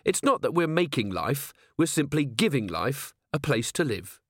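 A man voicing a calm, earnest police captain in a storybook, speaking politely and with firm command. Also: medium pitch, normal speed, slightly rough, no room sound, clean studio sound, voice pushed up, exaggerated voice.

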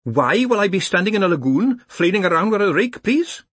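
A man's voice: sits high, very animated, brisk, fairly bright tone, husky, no echo, pitched above the performer's own voice, full character voice. A man voicing a fussy middle-aged wizard professor who sounds prim, doubtful and easily offended. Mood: querulous.

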